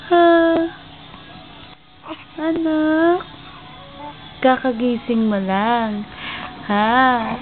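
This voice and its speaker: A Filipino woman talking to her baby in playful, sing-song baby talk, cooing and repeating teasing little questions. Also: sits high. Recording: tinny, phone-like home recording.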